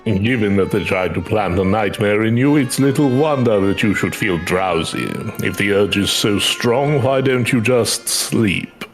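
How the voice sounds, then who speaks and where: A man voicing a solemn, formal dream-eating creature in a fantasy game, calm and measured. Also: lowish voice, soft background music.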